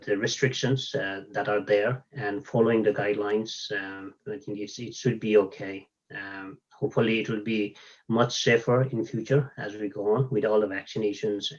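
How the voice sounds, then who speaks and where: A man's voice: lowish voice, muffled recording. A man hosting an online talk, thanking the guest and opening questions, with frequent fillers. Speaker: host. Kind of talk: online event moderation.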